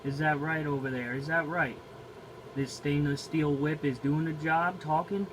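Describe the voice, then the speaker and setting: A man's voice: on the high side, steady tone, moderate pace. An American man making slangy CB radio calls, repeating catchphrases and call signs. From New Jersey, United States. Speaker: CB radio operator. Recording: noisy radio chatter and vehicle ambience.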